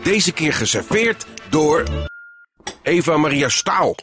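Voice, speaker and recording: A male radio announcer delivers a scripted introduction at a slow pace, with big melodic swings in his voice. Music plays clearly behind him. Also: fairly high pitch, very animated.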